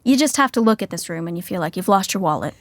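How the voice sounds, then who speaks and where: A woman's voice: medium pitch, expressive, quick pace, no echo, lightly acted. A woman playing a wry, chatty narrator who teases along in a comic podcast sitcom. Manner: wry, conversational, teasing.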